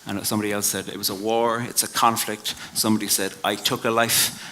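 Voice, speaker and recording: Irish guy doing a poetry talk live, lowish voice, bright and sharp, slow and thoughtful, trails off quiet. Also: flat delivery, a little hiss or hum, poor audio. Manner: measured and reflective, drops to a hush at line ends. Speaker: poet giving a talk. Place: live venue before an audience.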